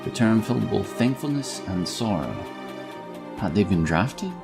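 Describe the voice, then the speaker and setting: A man's voice: lowish voice, lively intonation, unhurried, gravelly. A man reading scripted narration for a fantasy audio story. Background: background music.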